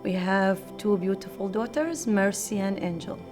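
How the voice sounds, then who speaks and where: A woman's voice: medium pitch, moderate pace. Woman, Iraqi accent, mid-range voice, simple informal testimony, distinct music behind. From Iraq. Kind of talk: personal testimony in an interview.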